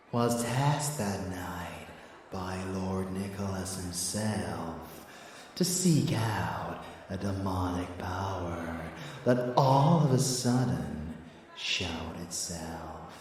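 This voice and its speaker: A man acting as a male vampire lover, low-voiced and intimate, murmuring with dark devotion in an ASMR roleplay. His delivery is extremely unhurried, soaked in echo. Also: recorded from a distance, a little hiss or hum, full character voice. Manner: slow, hushed, murmured endearments. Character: rebellious male vampire lover, doting, darkly scheming. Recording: heavy echo, music underneath.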